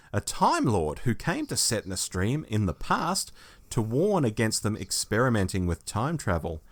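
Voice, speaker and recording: A man hosting a review podcast, reading out a prepared plot summary in a storytelling way. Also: fairly low pitch. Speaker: podcast host.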